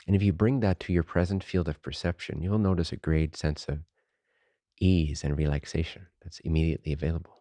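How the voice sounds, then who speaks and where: A man leading a guided meditation as a teacher, unhurried and informal, speaking in short phrases with pauses between them. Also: very deep voice, steady tone, warm tone, dry recording.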